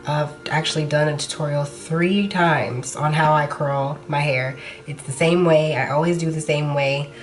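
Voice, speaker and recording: A woman's voice: deep voice, fairly even intonation, normal speed, hardly any pauses, faint music behind. A young woman vlogger with a slightly nasal voice from a recent cold, chatting frankly while she does her makeup. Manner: casual, frank, talks while applying makeup. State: nasal after being sick. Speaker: beauty vlogger. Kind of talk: chatty makeup tutorial talk.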